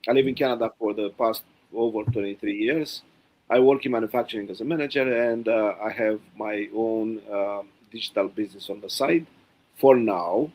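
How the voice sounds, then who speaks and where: A middle-aged man speaks as a guest on an online panel. He is polite and halting, with many "uh"s. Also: on the low side, darkish tone, poor audio. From Romania. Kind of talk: livestream panel chat.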